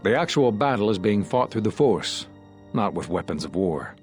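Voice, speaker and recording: A man playing an earnest, solemn Jedi master in a video game, husky and measured as he explains. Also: lowish voice, sing-song, darkish tone, soft background music. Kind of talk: video game dramatic acting.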